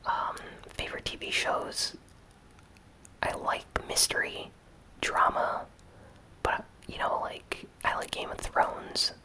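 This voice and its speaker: A gaming YouTuber chatting casually in a soft whisper while reading out and answering viewer comments. The speech rambles and is filled with "like". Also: slow, pauses often, rough recording. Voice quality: whispered, breathy, unvoiced delivery. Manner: relaxed, rambling, lots of "like" fillers.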